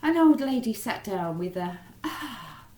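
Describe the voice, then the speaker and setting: Children's storyteller: female, theatrical and highly varied in pitch, slightly low voice, echoey room. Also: takes it slowly. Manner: animated, theatrical. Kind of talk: expressive rhyming storybook narration.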